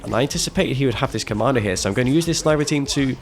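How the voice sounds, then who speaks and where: A young man giving casual, animated play-by-play commentary on a strategy match he played, with frequent fillers and restarts. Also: mid-range voice, racing. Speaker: video game caster commentating his own match.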